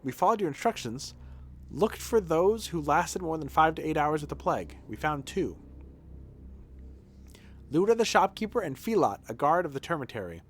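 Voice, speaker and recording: A man's voice: on the high side, big pitch swings, normal speed, a little hiss or hum, voice pushed up. A man voicing an eager, deferential small-town hospital helper in video game dialogue, polite and earnest. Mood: earnest, reporting. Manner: polite, earnest, anxious to please.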